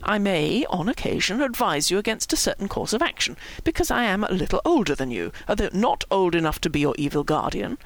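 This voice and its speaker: A woman voicing a dignified, exasperated young man in a story, quiet, measured and pompous. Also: medium pitch, big pitch swings, no room sound. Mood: wounded dignity.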